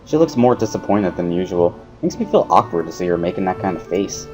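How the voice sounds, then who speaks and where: Man reading a story, lively intonation. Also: low-pitched, a little fast. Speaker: narrator of a let's play series. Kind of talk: dramatic reading of visual novel, first-person narration. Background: background music.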